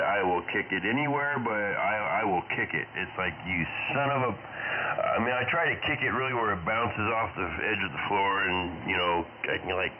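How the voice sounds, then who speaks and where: A man's voice: sits low, dull audio, noisy background. An older man who works as a hobby radio operator, chatting casually in a folksy, salty way over a ham radio transmission. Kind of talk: casual chat.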